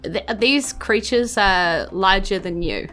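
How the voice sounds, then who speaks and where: A woman's voice: medium pitch, slow, a bit bright. New woman narrating over faint music. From New Zealand. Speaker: game master. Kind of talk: narrating a tabletop game. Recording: faint music bed behind speech.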